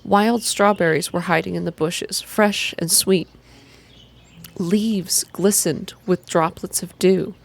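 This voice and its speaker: A woman reading a story aloud in a soft-spoken, measured, atmospheric ASMR style. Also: lowish voice, steady tone, vocal fry. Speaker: reader of a video game story.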